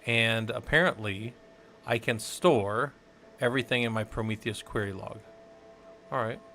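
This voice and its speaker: A man's voice: mid-range voice, a little slow, pushed and bright, a little hiss or hum. A man from the Southwest United States rambling and thinking aloud while teaching a casual live-stream tech tutorial, chatting with viewers as he works.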